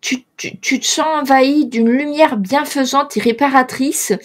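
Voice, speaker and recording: A woman's voice: high-pitched, steady tone, normal speed. A woman running a tabletop role-playing game as game master, often hesitating with fillers and restarts.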